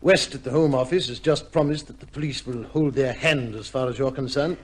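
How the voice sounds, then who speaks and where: An actor playing a shrewd, measured gentleman adviser in an old radio drama, speaking formally and firmly. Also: mid-range voice, a little hiss or hum, lightly acted. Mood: calm, cautioning. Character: cool, shrewd gentleman adviser to an accused judge.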